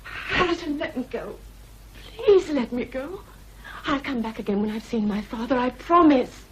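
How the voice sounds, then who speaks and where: A young gentlewoman held captive pleads earnestly. Her voice is high. It is a radio drama with faint hiss. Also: animated, moderate pace. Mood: pleading.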